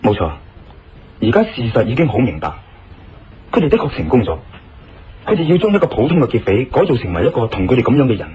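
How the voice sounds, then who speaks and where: An actor playing a thoughtful, curious male investigator in a Cantonese audio drama, with a Hong Kong accent and expressive, earnest delivery. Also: mid-range voice, fast talker, pauses often, a little hiss or hum, close to an everyday voice. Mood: grave, emphatic. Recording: slightly muffled radio drama, music underneath.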